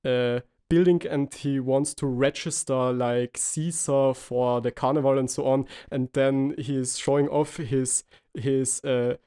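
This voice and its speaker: A man's voice: medium pitch, fairly even intonation, slow, mellow, smooth. A man from Austria with an Austrian accent, a native German speaker, hosting a casual film podcast and rambling with many fillers. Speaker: podcast host.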